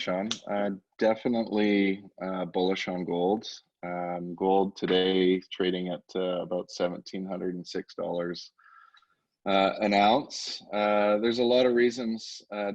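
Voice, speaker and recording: A man speaking haltingly as a portfolio manager, giving market commentary on a conference call. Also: low-pitched, no room sound. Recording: slightly dull conference line.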